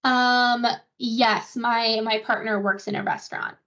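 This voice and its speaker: High-voiced woman interviewee, slightly muffled audio. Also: flat delivery, measured pace, sharp tone. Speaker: patient answering a survey interview. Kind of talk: conversational short answers. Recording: dull, lo-fi phone call audio.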